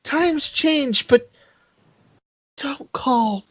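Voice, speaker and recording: A man's voice: very high voice, drawn-out words, a bit bright, no room sound. A man in his twenties chatting casually and expressively over slightly lo-fi online call audio. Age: 20s. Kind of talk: casual chat.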